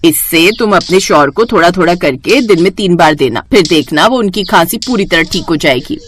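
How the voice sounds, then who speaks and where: A woman voicing a motherly housewife in a children's story, briskly giving advice. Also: on the low side, expressive, slight background noise, close to an everyday voice. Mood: helpful, reassuring. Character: helpful, motherly housewife giving home remedies.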